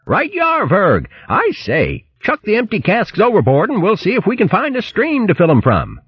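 A man's voice: sits high, huge pitch swings, moderate pace, breathy edge, no room sound, dull audio, voice pushed up, heavily acted. A man voicing a jolly, greedy upper-class hare sailor in a storybook, with plummy, blustering old-fashioned slang. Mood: breezy, eager.

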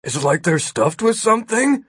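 A man voicing a bewildered, half-deafened man in a storybook, complaining loudly and slowly. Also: sits high, sing-song, husky, voice pushed up, exaggerated voice. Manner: slow, loud, confused complaining.

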